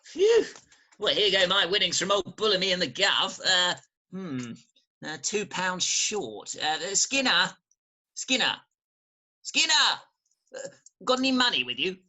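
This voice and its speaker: A man playing a cocky, boisterous young gentleman in a Victorian farce reading, hearty and egging others on. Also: high voice, sing-song, unhurried, exaggerated voice. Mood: flustered bluster. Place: recorded remotely at home over video call.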